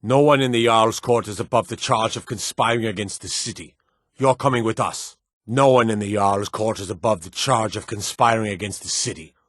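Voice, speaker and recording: An actor playing a stern, menacing fantasy city guard in a video game, gruff and authoritative, with a weary, corruptible streak. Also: male voice, fairly low pitch, normal speed, fairly bright tone, no room sound, studio quality. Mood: accusing, menacing. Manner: gruff, authoritative, clipped threats. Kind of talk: scripted fantasy game voice lines.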